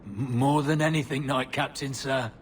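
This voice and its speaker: A man's voice: steady tone, moderate pace, lightly acted. A man playing a down-and-out former knight in a fantasy game, plainspoken and deferential. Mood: eager, grateful. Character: down-and-out male former knight seeking reinstatement. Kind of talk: fantasy video game acting. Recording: clear rumbling background noise.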